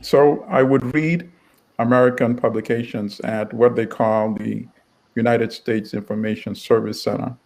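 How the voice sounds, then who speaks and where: Middle-aged male interview guest, gravelly mid-pitched voice, conversational. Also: steady tone, a little slow, darkish tone, rough recording. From Uganda and Tanzania. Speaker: guest, newspaper editor and publisher.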